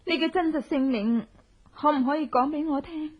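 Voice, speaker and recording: An actress playing a grieving, humiliated general's widow in a melodramatic, anguished radio drama style. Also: female voice, sits high, moderate pace, natural voice. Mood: questioning. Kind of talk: Cantonese radio drama acting. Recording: dull, lo-fi old radio drama.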